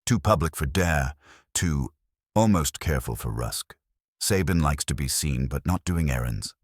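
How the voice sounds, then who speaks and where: Man as deep-voiced wisecracking detective's sidekick. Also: animated, unhurried, husky, no room sound, clean studio sound, exaggerated voice. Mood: wry, observant. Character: wisecracking, streetwise male detective's assistant. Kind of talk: acted dialogue in a detective audiobook.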